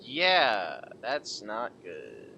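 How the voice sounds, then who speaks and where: A man's voice: fairly low pitch, huge pitch swings, drawn-out words, gravelly, highs cut off, very noisy. A man giving casual, sardonic commentary as they play a game, with muttered asides. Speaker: game streamer.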